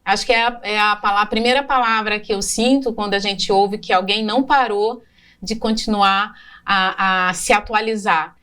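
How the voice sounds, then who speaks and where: A woman hosting a health podcast, speaking slowly and reflectively, restarting her sentences. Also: medium pitch, steady tone, sharp tone, smooth, recorded from a distance. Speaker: podcast host; health professional. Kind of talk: podcast interview.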